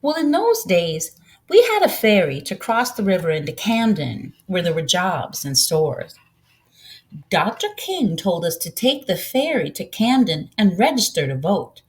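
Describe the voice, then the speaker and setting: Storybook role: kindly old country woman, voiced by a female reader, proud, folksy, leisurely pace, expressive pitch. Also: a little slow. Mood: proud storytelling.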